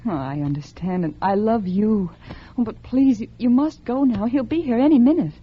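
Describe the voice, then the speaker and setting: A woman playing a scheming, calculating unfaithful wife, acting in a melodramatic 1950s radio suspense style with dramatic pauses. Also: fairly high pitch, expressive, breathy edge. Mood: anxious, coaxing. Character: scheming, calculating unfaithful wife plotting her husband's murder. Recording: dull vintage radio recording with music.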